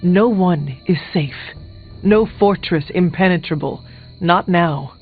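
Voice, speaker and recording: An actor playing a solemn young warrior hero in a video game, speaking in a measured, formal and earnest way. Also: male voice, high-pitched, slightly rough, full character voice. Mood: grave warning. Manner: measured, formal, avoids contractions. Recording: thin phone-like game audio, music behind.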